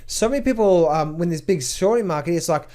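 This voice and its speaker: Male trading coach, slightly high voice, emphatic chart commentary full of rhetorical questions and repeated words. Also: lively intonation, warm tone. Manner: emphatic, fires rhetorical questions and answers them; repeats words in quick bursts. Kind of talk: market update over charts.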